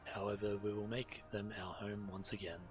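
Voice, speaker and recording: A low-pitched male game streamer reads quest text aloud with a measured, deliberate delivery. Fantasy game music plays clearly behind him. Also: flat delivery. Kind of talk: reading quest text aloud while playing.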